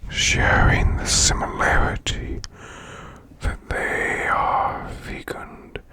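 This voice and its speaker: A man voicing a male demon boss in an ASMR bedtime reading, with a breathy, rasping, growled whisper and slow, deliberate storytelling. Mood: hushed, soothing. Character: male demon boss, growling while lulling listener to sleep.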